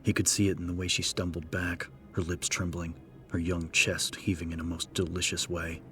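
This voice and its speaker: A man narrating dramatic horror fiction in a grave, measured manner. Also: low voice, flat delivery, sharp tone. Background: prominent background music.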